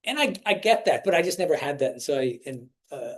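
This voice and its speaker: A man's voice: a little high, very animated, fast talker. A man in his forties from the United States, a swami giving an informal spiritual talk to students and stopping often to ask them questions. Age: 40s. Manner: conversational, often restarts phrases and quizzes the listeners.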